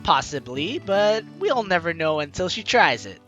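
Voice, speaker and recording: Lively, bright young woman reading a game. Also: fairly low pitch, moderate pace, muffled recording, faint music behind. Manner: playful, theatrical reading, chatty asides. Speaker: gaming YouTuber, host. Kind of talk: let's play, reading game text aloud with commentary.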